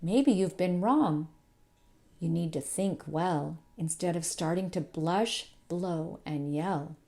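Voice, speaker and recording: A woman voicing a grumpy but wise parrot, measured and instructive, in a children's storybook. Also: on the low side, mellow. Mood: wise, advising. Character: grumpy colorful male parrot turned wise adviser. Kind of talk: children's storybook read-aloud.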